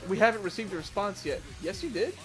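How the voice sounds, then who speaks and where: A man hosting a gaming stream, chatty and quick to laugh as he talks with friends between matches. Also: high voice, expressive, music in the background. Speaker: streamer host. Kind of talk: casual gaming stream chat.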